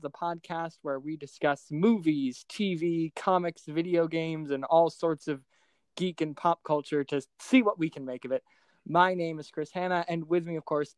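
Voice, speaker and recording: Clear-voiced man co-hosting a podcast. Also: a little high, no echo. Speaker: podcast co-host. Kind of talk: casual pop culture discussion.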